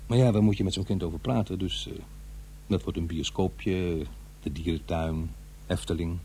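An actor playing an uneasy, defensive middle-aged divorced father in a radio drama, confiding in a halting, conversational way. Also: low voice, fairly even intonation, mellow. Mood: agitated, rueful. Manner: halting, hesitant, self-interrupting. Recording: faint noise and hum.

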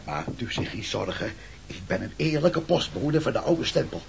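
An actor playing a proud, officious old-school postman of middle age in a radio play, creaky and self-important, on a noisy old recording. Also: medium pitch, big pitch swings, moderate pace. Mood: proudly officious. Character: proud, dutiful old-school male postman, thirty years' service. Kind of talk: absurdist radio play acting.

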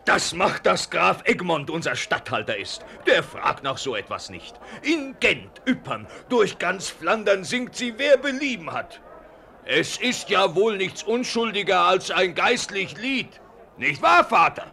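A man's high voice with a creaky edge as a confident, easygoing burgher in an old radio drama. His theatrical delivery is assured and animated. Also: a little slow, exaggerated voice. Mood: breezy, assured. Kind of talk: 1940s German radio play acting. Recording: vintage 1949 radio drama recording.

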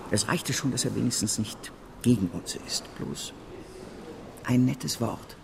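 An actor playing a driven, proud financial speculator in a German radio drama, urgent and persuasive. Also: male voice, mid-range voice, measured pace, vocal fry, noisy background. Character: driven, proud male financial speculator in 19th-century Paris.

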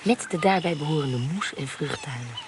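A woman's voice: sits low, expressive, normal speed. An actress playing a vengeful, commanding woman adventurer and schemer in a radio drama. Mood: brisk, self-assured. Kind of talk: Dutch radio drama acting. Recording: noisy radio drama with background ambience.

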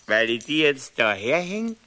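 An actor playing a tipsy, blustering husband with a Bavarian accent in a radio play, talking in a muttering, scornful drawl. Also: male voice, mid-range voice, sing-song, a little slow, sharp tone. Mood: muttering, smug. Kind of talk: 1970s radio play acting.

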